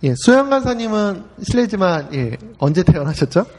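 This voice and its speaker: A man in his forties hosting a relaxed talk show, chatty and full of easy fillers. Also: sits high, normal speed, long reverb tail. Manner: chatty, frequent filler "ye", laughs easily. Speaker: host. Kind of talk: casual podcast talk.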